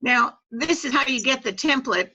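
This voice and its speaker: A woman's voice: high-pitched, lively intonation, normal speed, bright, forceful tone, husky. A woman presenting a methodical, step-by-step training walkthrough over an online video call. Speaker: presenter training club officers. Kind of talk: instructional walkthrough on a web call. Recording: dull, lo-fi web call audio.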